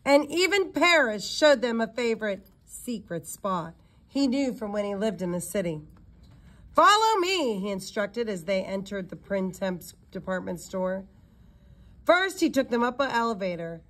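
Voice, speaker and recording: A woman reading a story aloud in an expressive narrating style, with occasional stumbles and self-corrections. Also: mid-range voice, normal speed. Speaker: audiobook reader.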